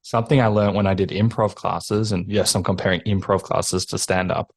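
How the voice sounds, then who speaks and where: Low-voiced man hosting a casual podcast. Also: fairly even intonation, brisk, creaky, dry recording, clean studio sound. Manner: enthusiastic, encouraging, rambling questions. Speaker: podcast host. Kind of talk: casual interview chat.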